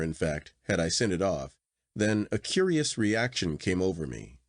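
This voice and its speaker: Male audiobook narrator, lower register, very varied intonation, expressive literary reading, dry close sound. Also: no room sound.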